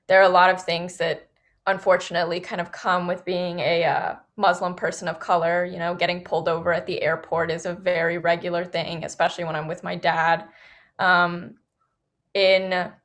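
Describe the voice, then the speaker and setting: A woman's voice: lowish voice, a bit bright. A young woman from Singapore and Los Angeles telling a personal story as a podcast panel guest, in casual youthful phrasing peppered with "like" and "you know".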